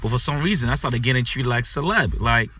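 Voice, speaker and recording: Man, bright tense voice, middle pitch; loose, repetitive storyteller. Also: fairly quick, slight background noise. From Ghana, raised in the United States. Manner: animated, repetitive, peppered with "man" and "you know". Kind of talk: casual personal storytelling.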